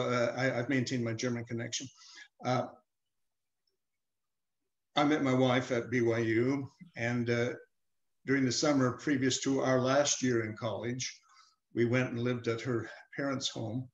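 An older man, a retired professor, answering interview questions in a measured, hesitant way with many pauses and fillers. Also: medium pitch, echoey room, highs cut off. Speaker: emeritus professor, guest.

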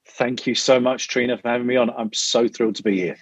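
A man from London with a London accent, a podcast guest telling his story casually, often restarting and pausing. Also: medium pitch, steady tone, slightly rough, no room sound. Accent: London English. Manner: hesitant, many restarts. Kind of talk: casual interview.

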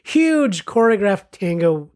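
A woman's voice: mid-range voice, big pitch swings, slow, darkish tone. A woman co-hosting a casual film discussion, chatty and often searching for words.

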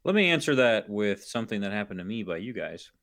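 Male guest, twenties, lowish voice, dry short-spoken. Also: sing-song, fast talker, fairly bright tone, no room sound. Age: 20s. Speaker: podcast guest. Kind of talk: casual chat.

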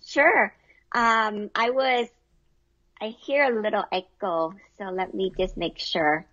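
A woman's voice: medium pitch, fairly even intonation, slow pace, fairly bright tone, no echo, muffled recording. A middle-aged woman from Vietnam, answering casually as an interview guest. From Vietnam, moved to the US at eleven.

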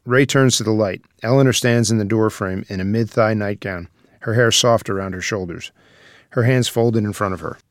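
A man's voice: lowish voice, normal speed, no echo, clean studio sound. A man reading stage directions as scripted narration for a screenplay.

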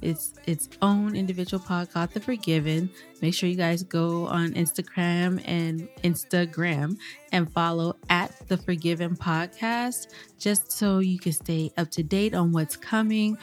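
A woman's voice: fairly low pitch, fairly even intonation, measured pace, soft background music. Bubbly woman podcast co-host. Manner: bubbly, laughs often. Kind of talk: casual chat.

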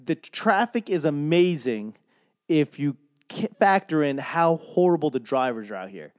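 Young dude ranting, lots of swearing and rambling, dead dry recording. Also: male voice, on the high side, a little slow, dry recording. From Boston. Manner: profane, rambling, animated rant. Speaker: comedian and podcaster. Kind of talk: casual monologue.

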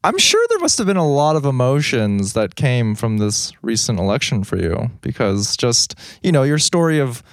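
Mid-pitched man co-host, dry close sound. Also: wildly swooping pitch, normal speed, few pauses, no room sound. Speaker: podcast co-host. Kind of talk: casual conversation.

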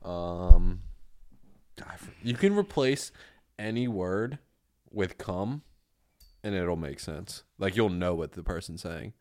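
A man's voice: sits low, expressive, slow pace, pauses often, darkish tone. A man co-hosting a podcast, trading crude, teasing jokes in casual banter. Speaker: podcast co-host.

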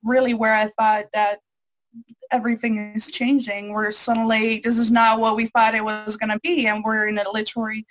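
A young woman speaks in a fairly high voice, pausing often on ums and restarting her sentences. She is a guest answering questions, and the sound is dry and close with no room around it. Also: level tone, no room sound, rough recording. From Netherlands. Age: 20s. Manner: hesitant, with frequent ums and restarts. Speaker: interview guest. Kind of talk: casual interview over a call.

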